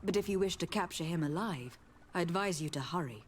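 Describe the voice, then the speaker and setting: An actress playing a young noblewoman envoy in a video game, speaking in a formal, measured, firm way. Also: fairly low pitch, expressive, a little hiss or hum, lightly acted. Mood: composed. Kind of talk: scripted game dialogue. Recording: music behind the voice.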